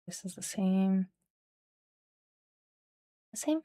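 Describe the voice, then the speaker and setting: A woman's voice: medium pitch, expressive, extremely slow, mellow, dry recording. A woman livestreaming a game she made, chatting casually in a scattered, self-interrupting way. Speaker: streamer and game developer playing own game. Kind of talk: casual livestream chat with read-aloud game text.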